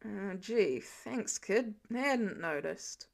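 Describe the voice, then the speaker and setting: A woman voicing a weary, sarcastic adult male hero who grumbles in a deadpan way in a story reading. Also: mid-range voice, very slow, vocal fry, heavily acted. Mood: grumbling, sarcastic.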